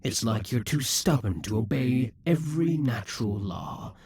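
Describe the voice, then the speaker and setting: A man's voice: medium pitch, lively intonation, normal speed, a little mellow, a little hiss or hum, close to an everyday voice. A man voicing a possessive, scheming male demon king in a theatrical monologue for an audio roleplay. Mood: exasperated. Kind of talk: audio roleplay addressing the listener.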